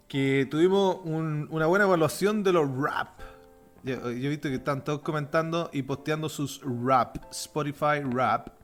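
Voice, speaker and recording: Faint music plays under a man with a slightly high voice and a softened Chilean accent. He hosts a religious podcast in an informal, chatty way, often sending warm wishes and blessings to his audience. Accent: softened Chilean Spanish. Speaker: podcast host. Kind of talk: casual religious podcast chat.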